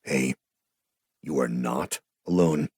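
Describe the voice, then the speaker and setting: A man voicing a kind superhero captain who comforts a grieving girl gently. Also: low voice, animated, normal speed, gravelly, husky, no room sound, clean studio sound, exaggerated voice. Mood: gentle. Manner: gentle and reassuring.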